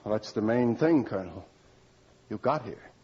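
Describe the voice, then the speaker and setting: An actor playing an exhausted, grateful army captain in a 1940s radio drama. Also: male voice, lowish voice, animated, moderate pace, slightly rough. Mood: weary relief. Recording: muffled old radio recording.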